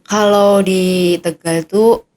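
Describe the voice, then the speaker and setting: A woman's voice: mid-range voice, steady tone, slow, darkish tone, a little hiss or hum. A young adult woman, a cadet hosting a casual podcast interview, chatty and curious, with eager follow-up questions.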